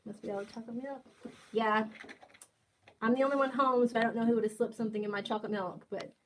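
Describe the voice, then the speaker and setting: A woman's voice: fairly high pitch, fairly even intonation, fast talker, a little hiss or hum, low-quality recording. A woman in her twenties hosting a casual livestream, reading chat comments and joking playfully with viewers. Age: 20s. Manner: playful, teasing, jokey asides. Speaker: livestream host.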